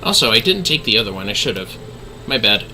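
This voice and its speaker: Bright-voiced man chatting on a gaming livestream. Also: lowish voice, big pitch swings, fast, slight background noise. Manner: easygoing, chatty, calls viewers fellas. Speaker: livestreaming gamer host. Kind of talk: casual gaming livestream chat.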